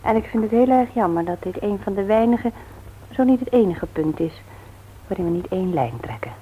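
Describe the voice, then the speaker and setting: A woman's voice: mid-range voice, animated, brisk, phone-quality sound, slight background noise, natural voice. An actress playing a playful but earnest, devout wife and mother in a naturalistic radio drama. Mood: earnest reproach. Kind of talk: naturalistic Dutch radio play acting.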